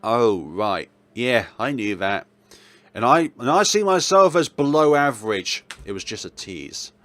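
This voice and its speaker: A man's voice: mid-range voice, big pitch swings, measured pace, fairly bright tone. A man hosting a let's play, reading dramatic narration aloud with casual, jokey asides.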